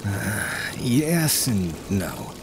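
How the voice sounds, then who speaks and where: A man's voice: fairly low pitch, sing-song, drawn-out words, vocal fry, heavily acted. A man acting a weary, exasperated sorcerer with a grudging, sighing delivery in a dramatised fantasy audiobook. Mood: reluctant explaining. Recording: music behind the voice.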